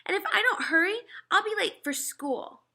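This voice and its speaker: A woman's voice: very high-pitched, lively intonation, normal speed, pushed and bright, voice pushed up, full character voice. A woman voicing an exasperated fourth-grade boy in a storybook, protesting emphatically.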